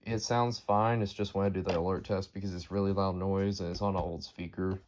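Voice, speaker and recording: A man's voice: low voice, flat delivery, fairly quick, heavy background noise. A man giving a casual, off-the-cuff show-and-tell narration as the host of a collection video. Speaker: host showing a weather radio collection.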